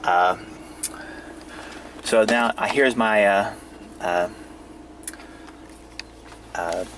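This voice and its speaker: A man giving a casual, halting show-and-tell of a hobby setup, pausing and restarting as he explains. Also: fairly low pitch, steady tone, noisy background. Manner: hesitant, halting, fragmented delivery. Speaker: amateur radio hobbyist demonstrating his setup. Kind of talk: casual demonstration vlog. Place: outdoors and in a parked vehicle in a residential neighborhood.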